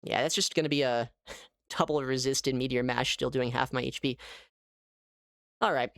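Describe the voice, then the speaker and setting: A man giving casual live gameplay commentary as a streamer, thinking aloud in an animated way. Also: medium pitch, normal speed, vocal fry, no room sound, clean studio sound. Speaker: gaming streamer.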